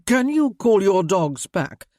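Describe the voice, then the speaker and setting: A man voicing a courteous, composed gentleman lord in a storybook reading. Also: mid-range voice, big pitch swings, slow, no room sound, studio quality, pitched above the performer's own voice. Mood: calm, measured.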